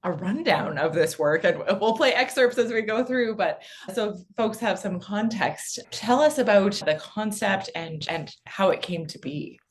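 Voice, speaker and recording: A woman's voice: mid-range voice, animated, measured pace, few pauses. Female radio host, mid-range voice, conversational interviewing.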